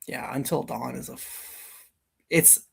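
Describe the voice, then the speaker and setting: Male livestream co-host, middle pitch with a husky edge, informal and enthusiastic, off-the-cuff chat. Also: slow, a bit bright. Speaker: co-host of a video game countdown livestream. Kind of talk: casual unscripted chat.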